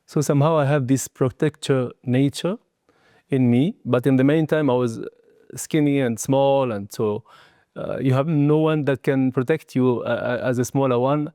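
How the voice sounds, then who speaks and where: A man in his sixties who grew up in southern Tunisia answers as an interview guest. His voice is mellow and relaxed, recorded close and dry. As a non-native speaker he picks his way through sentences in broken, hesitant phrases with small tags at the end. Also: medium pitch, moderate pace, no room sound. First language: not English. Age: 60s. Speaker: guest, martial arts and Qigong teacher. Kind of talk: reflective personal interview talk.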